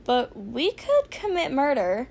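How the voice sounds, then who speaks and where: A meddling, bossy heroine acted by a woman in a fan fiction audio story. She is high-pitched, loud and emphatic, always pushing others around. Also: huge pitch swings, slow pace, gravelly, heavily acted. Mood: scheming.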